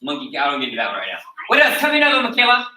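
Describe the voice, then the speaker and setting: Bright-voiced American man teaching martial arts. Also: on the high side, huge pitch swings, very fast. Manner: instructive, checks in with "okay?" after each point. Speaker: taekwondo instructor. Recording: echoey room recorded from a distance.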